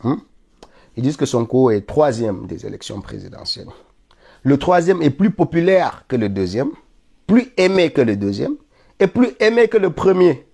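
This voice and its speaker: Man with a cold, gravel in a low dark voice, insistent live political rant, repeats himself and builds to outrage. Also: sing-song, normal speed. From Senegal. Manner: insistent, repetitive, rising to indignant peaks. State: stuffy with a cold. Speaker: political commentator streaming live.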